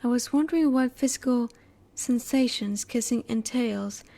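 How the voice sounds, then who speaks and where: Woman doing a curious alien lady for an audio roleplay, highish mellow voice, super polite n formal, kinda reserved. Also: normal speed, close to an everyday voice. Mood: grateful, curious. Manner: formal, precise, stilted wording, politely inquisitive. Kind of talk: roleplay audio drama, one-sided dialogue to the listener.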